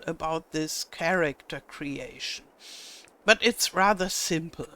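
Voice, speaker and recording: A woman's voice: medium pitch, fairly bright tone. An older woman with a German accent, German being her first language, patiently guiding viewers step by step through a game tutorial with frequent small hesitations. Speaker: gaming tutorial host. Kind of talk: casual game tutorial narration.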